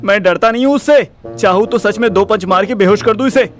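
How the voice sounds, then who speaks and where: A man's voice: high-pitched, fairly quick, faint music behind, lightly acted. A man playing a frustrated, jokey, protective young boyfriend in an audio drama, talking in slangy Hinglish. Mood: exasperated. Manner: slangy Hinglish, exasperated, wisecracking.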